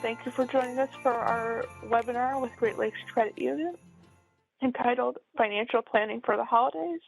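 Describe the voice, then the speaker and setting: A woman housing counselor opening a webinar with a measured, scripted welcome. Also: on the high side, vocal fry, soft background music. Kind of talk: webinar presentation. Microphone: video call. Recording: tinny phone-like line.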